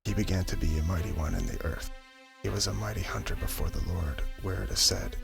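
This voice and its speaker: Deep, creaky-voiced male Bible narrator. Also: brisk. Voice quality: low, creaky voice. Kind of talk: dramatized Bible reading, ASMR. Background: music.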